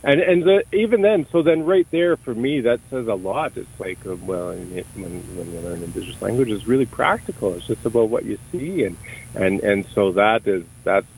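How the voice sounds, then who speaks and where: A man's voice: fairly low pitch, animated, moderate pace, creaky, darkish tone, slight background noise, low-quality recording. A middle-aged man from Canada, speaking as an interview guest in a thoughtful, searching way with many restarts and repeated words. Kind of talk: conversational interview.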